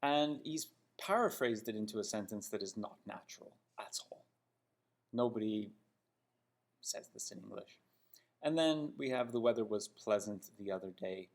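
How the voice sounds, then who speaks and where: A man with a Canadian accent and a fairly low voice teaches English. He is didactic and emphatic, stressing example sentences and often checking in with short tag questions. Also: normal speed. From Toronto, Canada. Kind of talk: spoken English lesson critiquing example sentences.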